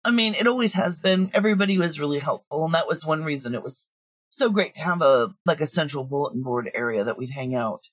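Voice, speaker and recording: Husky woman, chatty interview guest, dry slightly dull recording, storytelling asides. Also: low-pitched, expressive, fast, no echo. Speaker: guest, audio drama creator. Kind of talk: casual interview chat.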